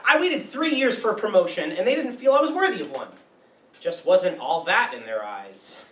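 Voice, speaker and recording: An earnest, self-serious teenage boy, acted by a man in a stage play reading. The delivery is highly animated and bright, with emphatic indignation ready at hand. It is heard from a distance in an echoey room. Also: moderate pace. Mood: indignant. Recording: roomy, distant mic with background noise.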